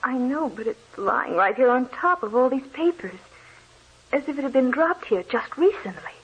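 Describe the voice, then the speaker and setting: A woman's voice: high voice, lively intonation, moderate pace, husky, muffled recording. An actress playing a curious, easily frightened woman with melodramatic urgency, in an old radio drama on a noisy recording. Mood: curious, uneasy. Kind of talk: 1930s-40s radio drama acting.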